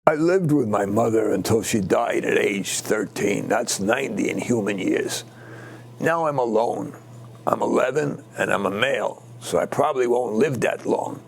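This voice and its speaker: A man's voice: on the low side, lively intonation, moderate pace, vocal fry, slight background noise. A man voicing a lonely, aging male dog who reflects plainly on his life. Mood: wistful.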